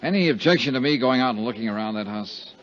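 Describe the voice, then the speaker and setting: An actor with an American accent playing a cool, courteous insurance investigator and narrating in a wry, clipped 1950s radio detective style. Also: male voice, medium pitch, animated, quick pace, natural voice. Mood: firm, businesslike. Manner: wry, clipped first-person narrating and questioning. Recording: muffled vintage radio recording, music behind.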